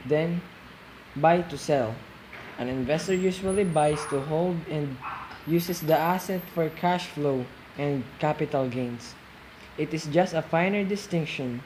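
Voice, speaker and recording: Male amateur as calm, lecturing investor in radio play interview, repeats points for emphasis, faint noise behind. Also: fairly high pitch, unhurried, natural voice. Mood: explaining. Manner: didactic, repeats phrases for emphasis. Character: calm, didactic male real estate investor being interviewed.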